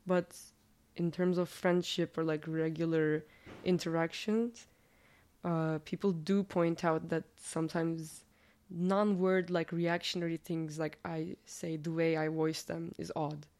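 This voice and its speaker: A young woman from Turkey, a native Turkish speaker, talking casually in an interview with a slightly nasal, deep voice and lots of "like". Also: unhurried. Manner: relaxed, filler-heavy, says "like" a lot.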